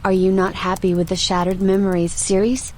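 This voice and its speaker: A woman's voice: mid-range voice, flat delivery, darkish tone, natural voice. Woman acting a composed, formal female AI, noisy backdrop. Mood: matter-of-fact. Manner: formal, measured, matter-of-fact. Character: composed, inquisitive female archive AI with dry attitude. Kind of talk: audio roleplay acting.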